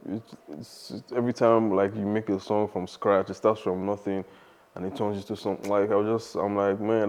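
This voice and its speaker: A young man, talking casually as a podcast guest in a relaxed, rambling way. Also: lowish voice. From Nigeria. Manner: relaxed, rambling, many fillers and restarts.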